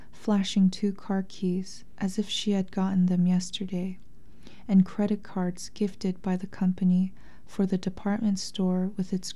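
A woman author speaking softly and breathily in a thoughtful, measured, literary way. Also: mid-range voice. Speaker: interviewed author and translator. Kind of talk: reflective literary interview talk and reading aloud from a memoir.

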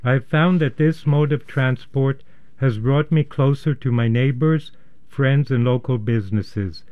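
A man presents a radio show in a formal, earnest manner. His voice sits at a medium pitch and he speaks in measured, prepared phrases. The studio sound is a little dull and lo-fi. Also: smooth. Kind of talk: measured scripted introduction and interviewing. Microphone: studio microphone.

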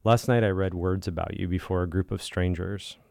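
A man with a deep voice reads a personal piece of literary writing. His delivery is measured and deliberate, with plenty of fry in the tone. The sound is dry, with no room around it. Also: steady tone, a little mellow, no echo. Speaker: listener contributor reading own writing. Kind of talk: reading a personal literary essay aloud.

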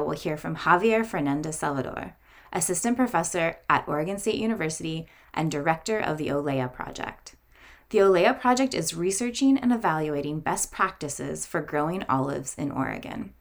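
A woman speaking as the host of a community radio show, introducing segments and interviewing guests. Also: sits low.

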